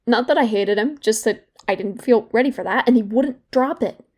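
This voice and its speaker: An actress playing a young woman in therapy in an audio drama, bitter and regretful, confiding in a halting, sardonic, conversational way. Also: a little high, a little mellow, breathy edge. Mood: wry, indignant. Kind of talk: naturalistic audio drama monologue.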